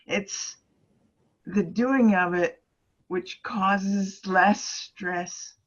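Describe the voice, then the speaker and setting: A woman speaks slowly and earnestly on a group call, stumbling and restarting as she offers encouragement. Her voice comes through slightly dull and lo-fi. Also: mid-range voice, steady tone. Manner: halting, earnest, restarts often. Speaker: ministry facilitator.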